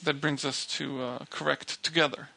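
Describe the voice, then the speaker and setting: Male spiritual teacher, forceful bright tone with vocal fry, halting delivery to a group. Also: mid-range voice, steady tone, poor audio.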